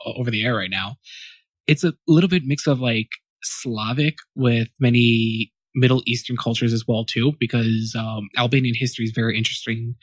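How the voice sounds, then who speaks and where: A man's voice: mid-range voice, dry recording. A man in his twenties, a podcast guest, answering questions in a loose, rambling way, often correcting himself and thinking aloud. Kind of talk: casual interview answers.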